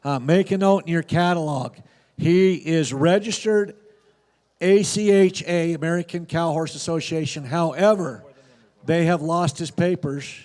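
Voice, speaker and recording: A man's voice: on the high side, unhurried, a little mellow, big-room sound. A man announcing horse sale lots, describing each horse in a plain, informative way with casual fillers. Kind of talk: reading lot descriptions.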